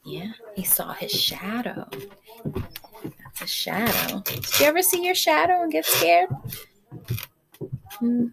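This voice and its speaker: A woman's voice: on the high side, big pitch swings, slow pace, gravelly, pauses often, background noise. A woman reading a picture book aloud to young children, expressively, with conversational asides and questions to the listeners.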